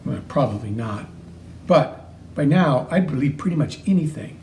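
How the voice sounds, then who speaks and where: A man's voice: mid-range voice, expressive, normal speed, darkish tone, far from the mic, slight background noise, pitched below the performer's own voice, natural voice. A man voicing a firm, concerned female police officer who questions a child. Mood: probing.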